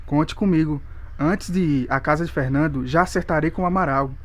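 A man acts out an old Brazilian gentleman, uncle and guardian to a young lady, in a period audio drama. He is short in stature, obliging and deferential, and speaks with polite formality. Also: on the high side, brisk, lightly acted. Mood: eager to please. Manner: courteous, deferential.

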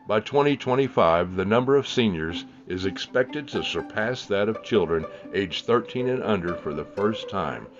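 A man hosting a podcast who reads out figures and then jokes loosely. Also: lowish voice. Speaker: podcast host. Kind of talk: podcast narration. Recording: dull sound with faint music behind.

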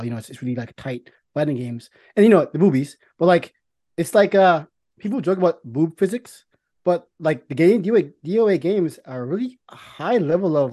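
A man co-hosting a casual podcast discussion, rambling and halting, often repeating words and swearing now and then. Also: fairly high pitch, mellow. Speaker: podcast co-host. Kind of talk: casual film and game discussion.

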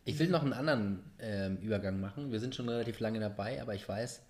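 A middle-aged man hosting a casual family podcast, asking relaxed, chatty questions. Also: on the low side, quick pace. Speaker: podcast host.

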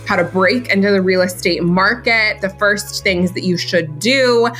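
A woman hosts a podcast in a bubbly, upbeat way, leaning on "like" as she chats. Her voice sits at an ordinary middle pitch, and faint music plays underneath. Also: fairly bright tone. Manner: bubbly, upbeat, says "like" a lot. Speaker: podcast host. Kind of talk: casual podcast chat.

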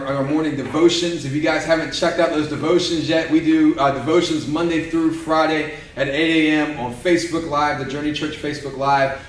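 A man preaching a casual, energetic sermon to a small audience, peppering his talk with "man" and "right?". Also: a little high, slight background noise. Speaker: pastor. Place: church room with a small audience. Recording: echoey room, mic at a distance. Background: small live audience responding.

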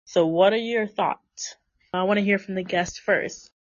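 A woman's voice: mid-range voice, a little slow, no room sound. A woman in her twenties hosting a casual podcast, talking in a chatty, opinionated way with lots of fillers. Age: 20s. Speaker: podcast host. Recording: dull lo-fi sound.

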